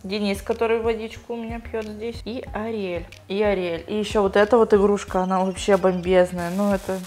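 A woman's voice: mid-range voice, level tone, fairly quick, darkish tone. A young woman vlogging casually, playful and chatty as she talks to her dog and her viewers while opening toys, recorded on a lapel mic in a small tiled bathroom. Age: young adult. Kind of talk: casual vlog chat unboxing toys. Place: small tiled home bathroom, sitting in a bathtub.

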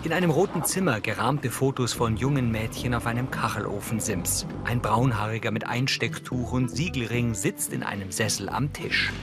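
A man's voice: medium pitch, moderate pace, few pauses, loud music behind the voice. A man reading scripted audio description in a neutral, matter-of-fact way as the narrator.

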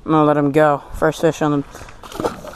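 A young man filming himself fishing from a small boat on a lake, talking casually and exclaiming excitedly over his catch through a head-mounted camera. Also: fairly high pitch, moderate pace, slight background noise. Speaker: fishing vlogger. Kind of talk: casual commentary while fishing. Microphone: head-mounted action camera.

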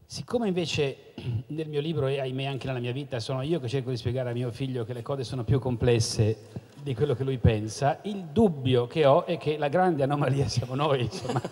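A man's voice: mid-range voice, moderate pace, a little mellow. A middle-aged man, an author on a panel, speaking in a thoughtful, hesitant, self-ironic way with a handheld mic on stage. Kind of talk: casual panel talk. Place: stage in a large hall. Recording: long hall reverb.

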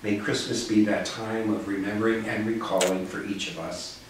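A minister in his sixties giving a reflective sermon in a measured, solemn, deliberate way. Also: on the low side, little pitch movement. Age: 60s. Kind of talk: reflective sermon, read from notes. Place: living room with small seated group. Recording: echoey living room, distant mic, faint noise.

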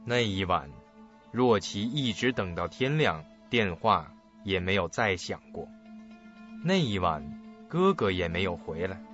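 A male narrator tells a suspense story in a fairly low voice with lively swings of pitch. His delivery is dramatic and scripted, over music. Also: measured pace. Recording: dull lo-fi audio with music.

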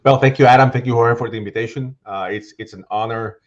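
A middle-aged man, a guest author talking on a video call in an animated way, with frequent fillers and restarts. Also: mid-range voice, quick pace. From Puerto Rico. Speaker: guest author and baseball writer. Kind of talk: casual video call interview. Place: home room. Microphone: headset microphone on video call. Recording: slightly dull, lo-fi call audio.

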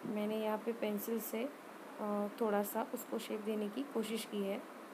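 A woman giving an informal step-by-step craft tutorial voice-over, hesitant and often pausing mid-sentence. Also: mid-range voice, little pitch movement, noisy background. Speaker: rangoli art tutorial presenter.

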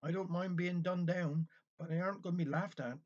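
A chatty Cornish village woman, acted out by a man in a storytelling piece, full of indignant gossip in thick dialect. The voice is husky and the recording is dull. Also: high voice, fairly even intonation, fairly quick, a little mellow.

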